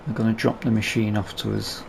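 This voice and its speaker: A man's voice: fairly low pitch, little pitch movement, fairly quick, creaky, background noise. A man giving casual let's play commentary, hesitant and musing aloud to himself as he hosts a gaming video.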